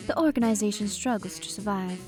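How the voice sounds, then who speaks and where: A woman's voice: medium pitch, a little fast, a little mellow. A woman giving scripted, dramatic story narration. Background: music.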